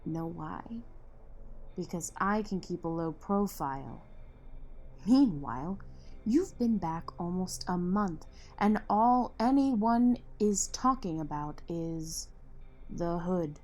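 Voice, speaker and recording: Slow-talking actress as a confident female vigilante. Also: fairly low pitch, animated, darkish tone, slight background noise. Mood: wry, measured. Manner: assertive, teasing, measured. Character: street-smart young female vigilante, confident, persuasive, wry. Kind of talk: audio drama dialogue.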